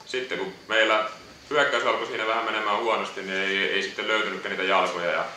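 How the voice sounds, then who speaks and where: A basketball player answering postgame questions, hesitant and repeating words. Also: male voice, lowish voice, little pitch movement, pushed and bright, a little hiss or hum. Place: echoey press room. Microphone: distant room mic. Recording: echoey room audio from far off.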